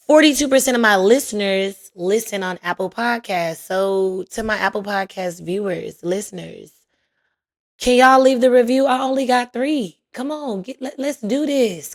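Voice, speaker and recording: Raspy-voiced woman hosting a slow, chatty podcast. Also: on the high side. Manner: chatty, playful, slangy, drawn-out emphasis. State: hoarse, voice recovering. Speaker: podcast host. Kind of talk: casual solo podcast chat.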